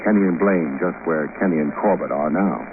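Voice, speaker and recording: An actor with an American accent playing a wry, hardboiled private detective in a sardonic, clipped old radio-drama style. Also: male voice, low voice, a little fast, close to an everyday voice. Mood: probing. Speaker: lead actor, detective narrator. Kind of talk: 1940s-50s American radio detective acting. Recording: noisy, narrow-band old radio recording.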